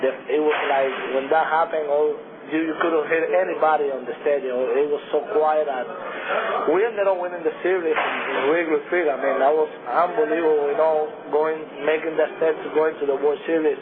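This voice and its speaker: A young man from Cuba with a Cuban Spanish accent and Spanish as his first language, a baseball pitcher answering radio interview questions in halting speech with many restarts. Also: fairly even intonation, crowd noise. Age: young adult. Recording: tinny phone-like radio broadcast with noise.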